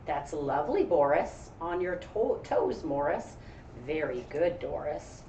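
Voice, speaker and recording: A woman voicing a gentle, modest female ballet-dancing cow from a storybook, sweet and earnest. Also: sits low, animated, a little slow. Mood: praising. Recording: echoey room, distant mic, faint noise.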